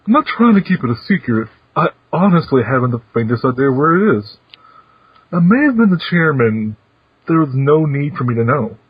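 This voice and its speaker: An old retired chairman, genial and a little teasing, voiced by a man in a fan read-through. His husky voice rises and falls in a lively way, with playful pauses. The recording is muffled and dry. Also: medium pitch, dry recording. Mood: gentle.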